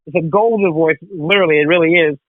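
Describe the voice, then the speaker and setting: A woman's voice: low-pitched, fast talker, no room sound. A woman hosting a radio interview, chatty and full of "you know". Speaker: radio host. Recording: tinny phone line.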